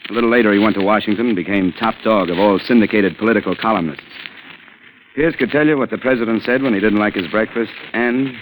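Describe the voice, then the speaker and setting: An actor playing a seasoned newspaper reporter who narrates a 1940s radio suspense story in a wry, conversational way. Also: male voice, on the low side, fast, close to an everyday voice. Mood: wry, matter-of-fact. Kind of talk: 1940s radio drama first-person narration. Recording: noisy vintage radio recording.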